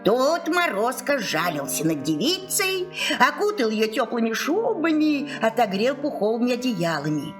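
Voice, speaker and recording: A woman storyteller giving an expressive scripted fairy-tale narration. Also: on the high side, moderate pace. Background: music.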